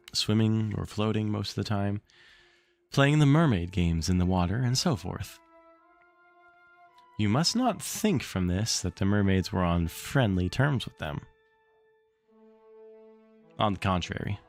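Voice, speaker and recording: A man reading a children's story aloud on a livestream in an expressive, theatrical way, with casual asides to the chat. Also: lowish voice.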